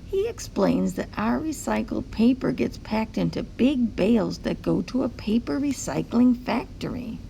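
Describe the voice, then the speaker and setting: A woman's voice: fairly high pitch, lively intonation, a little slow, gravelly, hardly any pauses, warm tone, a little hiss or hum, rough recording. A woman reading a picture book aloud to young children, explaining things simply like a teacher. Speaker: teacher-like read-aloud host.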